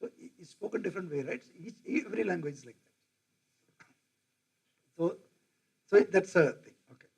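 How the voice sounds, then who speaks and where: Older indian prof lecturing, kinda husky, voice sits a bit high, goes off on tangents. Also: male voice, sing-song, normal speed, long pauses, darkish tone. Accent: Indian English. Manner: digressive, asks the audience questions. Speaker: professor. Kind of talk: lecture to faculty.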